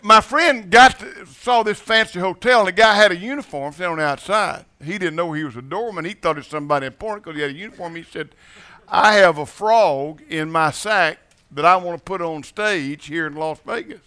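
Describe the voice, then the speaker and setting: A Southern preacher from Mississippi with a bright, somewhat high voice tells down-home jokes to a church crowd. He stumbles and restarts, repeats his words, and chuckles through his stories. His tone stays loose and folksy, like a guest in the pulpit. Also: brisk, clear, resonant tone. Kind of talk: folksy storytelling sermon with jokes. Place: church sanctuary with congregation.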